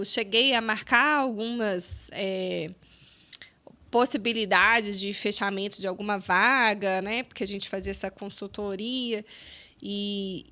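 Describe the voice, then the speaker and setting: A Brazilian-accented woman with a bright, slightly high voice talks through her past as a podcast guest. She rambles warmly with plenty of fillers and acts out her own remembered lines. The delivery stays casual and conversational. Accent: Brazilian Portuguese. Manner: animated, many fillers and quoted self-talk. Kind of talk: casual storytelling interview.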